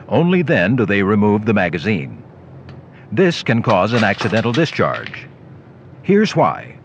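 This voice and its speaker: A man reading scripted instructional narration for a training film. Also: lowish voice. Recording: dull lo-fi old videotape with noise.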